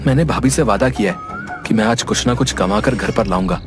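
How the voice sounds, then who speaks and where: A man playing an earnest, touchy young villager looking for work in an animated story, plain-spoken in manner. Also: lowish voice, a little fast, music in the background, close to an everyday voice. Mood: curious. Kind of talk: Hindi animated story dub.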